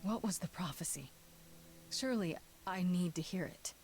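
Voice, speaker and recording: A woman's voice: on the low side, expressive, normal speed, a little mellow. An actress playing an earnest, wounded but defiant young woman in a video game drama, with emotional delivery. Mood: questioning, urgent. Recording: game audio with faint background noise.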